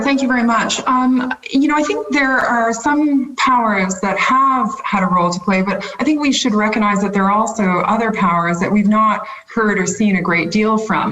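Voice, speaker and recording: A woman's voice: mid-range voice, fairly bright tone, roomy sound, low-quality recording. A woman speaking as a panelist, composed and articulate. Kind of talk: panel discussion remarks.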